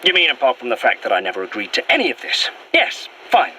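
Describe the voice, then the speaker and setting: Audio drama: irritable reluctant companion, wildly animated pitch, sarcastic delivery, slightly noisy field recording. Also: male voice, quick pace, full character voice. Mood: exasperated. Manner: sarcastic, sweary, exasperated. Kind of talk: found-footage audio drama dialogue.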